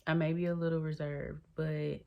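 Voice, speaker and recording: A woman's voice: normal speed, creaky, dull audio. A woman, chatting casually to her viewers and calling them y'all. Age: 30s. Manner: chatty, addresses viewers as y'all, lively swings in pitch. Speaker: vlogger. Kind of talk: casual chat to the camera.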